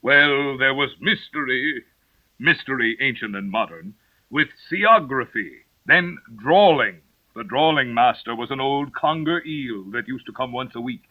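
Slow, mournful old Mock Turtle. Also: male voice, expressive, bright, forceful tone, rough recording. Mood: wistful. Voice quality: deep, hollow tone. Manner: slow, mournful, drawn-out delivery. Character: sad, sighing old Mock Turtle, gloomy and touchy. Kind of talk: dramatised storybook reading.

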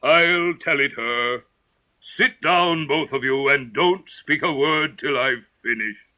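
Man voicing a slow, mournful old Mock Turtle. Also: phone-quality sound, close to an everyday voice. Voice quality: deep, hollow tone. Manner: slow, mournful, drawn-out delivery. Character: sad, sighing old Mock Turtle, gloomy and touchy. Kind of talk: dramatised storybook reading.

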